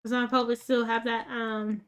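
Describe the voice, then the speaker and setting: Chatty rural Australian woman, higher voice. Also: flat delivery, a little fast, clean tone. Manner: rambling, filler-heavy, self-mocking. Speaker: gaming YouTuber. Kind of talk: casual gameplay chat.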